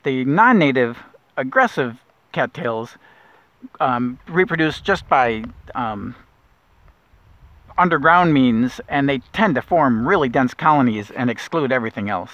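A middle-aged man guiding a kayak nature trip, explaining plants in a relaxed way with small restarts, recorded outdoors on a lake. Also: medium pitch, unhurried, dry recording. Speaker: naturalist guide. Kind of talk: outdoor nature walk narration. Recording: dull lo-fi outdoor audio.

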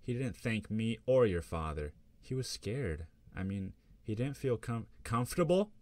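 A man's voice: fairly low pitch, very animated, measured pace, a little mellow, no echo, pitched above the performer's own voice. A man voicing a disapproving middle-aged mother who scolds her daughter over speakerphone, in a storytelling reading. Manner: scolding, self-justifying.

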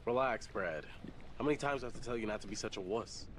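A man's voice: lowish voice, fast talker, slight background noise, lightly acted. An actor playing an earnest, protective young male diver in a video game. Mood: easygoing. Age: young adult. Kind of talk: naturalistic video game acting.

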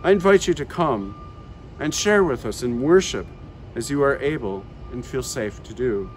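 A man's voice: mid-range voice, animated, moderate pace, a little hiss or hum. A middle-aged man, a parish priest, preaching a sermon outdoors in a garden with earnest, rising and falling emphasis.

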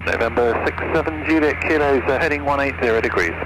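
A man's voice: mid-range voice, quick pace. A man working as a pilot, making clipped calls to air traffic control over aircraft radio. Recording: very noisy aircraft radio transmission.

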